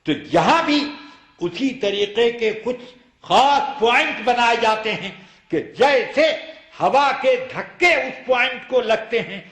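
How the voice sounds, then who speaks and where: High-pitched male Islamic preacher, echoey sound. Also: animated, slow pace, fairly bright tone. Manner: emphatic, rhetorical questioning. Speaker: Islamic scholar giving a religious ruling. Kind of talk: Islamic sermon, explanatory and argumentative.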